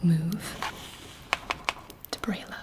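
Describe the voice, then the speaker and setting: A woman voicing a scheming, haughty vampire queen in a breathy, deliberate ASMR whisper. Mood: conspiratorial, calculating. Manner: slow, deliberate, imperious. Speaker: ASMR performer.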